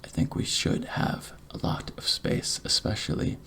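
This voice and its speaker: A man speaking softly in a breathy half-whisper, gentle and courteous, as a barber in an ASMR roleplay. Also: slight background noise. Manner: gentle, courteous, unhurried reassurance. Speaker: ASMR creator playing a night-shift barber.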